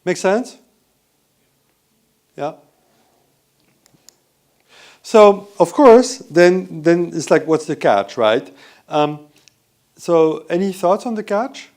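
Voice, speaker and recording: A man with a French accent giving a lively, conversational conference talk and often asking the audience questions. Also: sits high, slow, long pauses. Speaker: conference speaker. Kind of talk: live conference presentation. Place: hotel event room.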